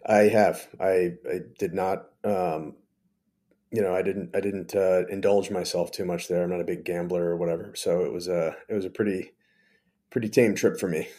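A man hosting a podcast interview, talking in a relaxed, casual way with some hesitant fillers. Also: low-pitched, normal speed. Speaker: podcast host.

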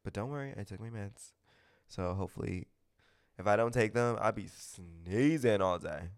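A man's voice: fairly low pitch, normal speed, smooth. A man hosting a podcast in a casual, gossipy chat, talking with a stuffy nose and gushing, opinionated comments. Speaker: podcast host.

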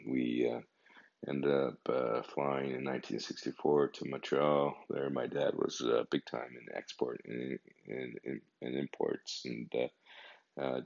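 Very deep gravelly man in his fifties. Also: steady tone, unhurried, darkish tone. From Canada. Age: 50s. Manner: meandering, conversational. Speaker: podcast host. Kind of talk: casual podcast monologue. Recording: dull lo-fi recording.

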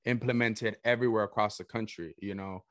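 A young man from El Salvador hosting a casual podcast chat, energetic and saying "bro" a lot. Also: fairly low pitch, brisk, no echo, studio quality. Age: young adult. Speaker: podcast host.

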